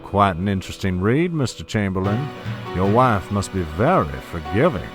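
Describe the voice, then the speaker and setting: A man acts out a scheming oil baron in an audio drama. His voice sits fairly low over clear background music. He is mock-polite, letting each threat slide out with smug, menacing insinuation. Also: very animated, moderate pace. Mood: smug menace. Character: smooth, scheming male oil tycoon and blackmailer.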